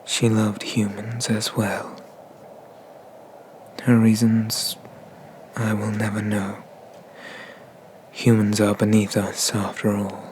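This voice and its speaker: A man performs an arrogant, vengeful dragon deity for an ASMR roleplay. The voice is low and very unhurried, with cold disdain and menace that often drops into a whisper. Noticeable background noise runs under the recording. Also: completely flat delivery, a little mellow. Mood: bitter, wistful. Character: haughty, vengeful dragon god exiled to a temple.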